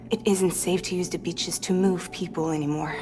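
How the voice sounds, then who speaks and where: A woman playing an exhausted, wry transporter in a video game cutscene, frank and sometimes breathy. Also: fairly low pitch, fairly even intonation, moderate pace, over music, lightly acted. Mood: weary, cautioning. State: weary.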